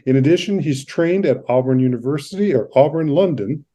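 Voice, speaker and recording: A man's voice: on the high side, animated, brisk, dark tone. A man hosting a podcast, introducing and interviewing a guest, stumbling a little over his words as he reads. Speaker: podcast host.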